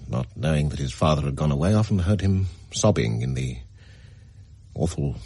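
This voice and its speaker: A man playing a hesitant house agent in a radio mystery, telling a grim tale in a grave, halting, measured way. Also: a bass voice, animated, mellow, slightly rough, dry recording, full character voice. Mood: grave, sombre. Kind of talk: radio mystery drama acting.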